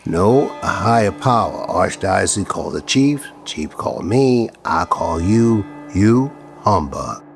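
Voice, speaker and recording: A deep, gravelly police lieutenant in an audio drama. He needles his detective with dry, bossy sarcasm. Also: big pitch swings, takes it slowly, few pauses, soft background music, exaggerated voice. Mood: dry, weary authority.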